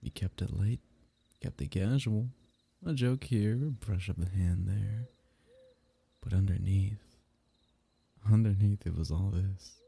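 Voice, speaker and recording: A young man speaking softly and intimately in an ASMR roleplay, teasing and sometimes dropping into a whisper. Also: low voice, measured pace, vocal fry, pauses often, husky.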